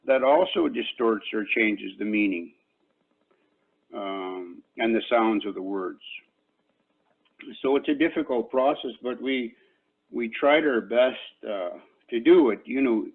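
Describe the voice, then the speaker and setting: An older man whose first language is Cree, an Elder from Canada, giving a reflective talk to an online panel in a slow, measured way with many pauses. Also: mid-range voice, husky. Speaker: Elder and invited speaker. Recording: tinny phone-like call audio.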